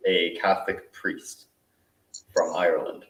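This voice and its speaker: A male host chatting casually in a relaxed, thoughtful way, with plenty of fillers. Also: sits low, moderate pace, far from the mic, background noise. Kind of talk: casual video call chat. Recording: echoey room, away from mic.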